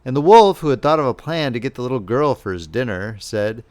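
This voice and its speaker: A man narrating a children's fairy tale as a scripted, expressive audiobook reading. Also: medium pitch, brisk.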